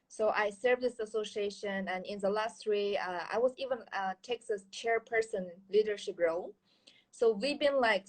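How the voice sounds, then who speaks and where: A woman's voice: mid-range voice, low-quality recording. A woman in her thirties from Kyrgyzstan, with a Kyrgyz accent, speaking English as a second language. She is a guest in a casual interview and talks earnestly, with many fillers and restarts. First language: Chinese. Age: 30s.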